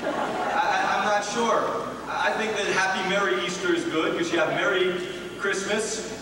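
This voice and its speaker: An American man in his thirties hosting on stage, with theatrical, mock-solemn comic delivery and halting pauses. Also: sits high, fairly even intonation. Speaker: host of a church Easter show. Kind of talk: comedic stage emceeing. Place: large hall stage before an audience. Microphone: handheld-type mic on a stand, picked up from afar. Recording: echoey hall from far off, crowd noise.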